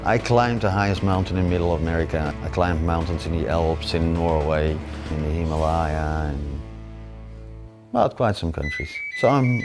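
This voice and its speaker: A Dutch-accented man with a slightly low voice talks through his travels in plain, matter-of-fact English, often pausing on "uh". Guitar music plays clearly beneath him throughout. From Netherlands. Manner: plain-spoken, lists feats matter-of-factly, frequent uh fillers. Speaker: adventurer interviewee. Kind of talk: casual interview talk. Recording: music playing underneath.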